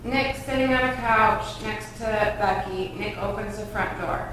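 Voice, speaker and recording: A woman's voice: medium pitch, a little slow. A woman reading stage directions as scripted narration at a table read. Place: reverberant room, far from mic. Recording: echoey room, distant mic, faint noise.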